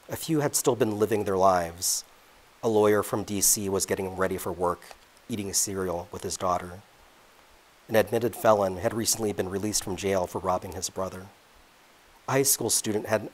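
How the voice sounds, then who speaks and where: A man, an author, reading aloud from his own novel at a public event in a measured, deliberate way. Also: sits low, poor audio.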